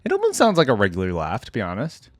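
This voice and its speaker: A woman's voice: rumbling low, huge pitch swings, fast talker, no echo. A woman giving casual reaction commentary as a video host, close to the microphone.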